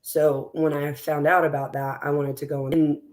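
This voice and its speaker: A woman with a raspy, strained voice, a school board candidate talking as a guest in a relaxed interview, rambling with lots of fillers and restarts. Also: deep voice, normal speed. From West Texas. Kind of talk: casual conversational interview.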